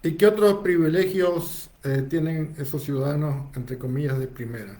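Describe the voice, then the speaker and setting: A man's voice: on the high side, moderate pace, warm tone, a little hiss or hum. A man hosting a political talk show, prompting his guest with follow-up questions.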